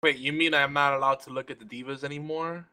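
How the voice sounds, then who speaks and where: A man's voice: mid-range voice, steady tone, quick pace, sharp tone, clear, resonant tone. A middle-aged man chatting casually as a panelist and player on a talk show. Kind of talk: casual chat.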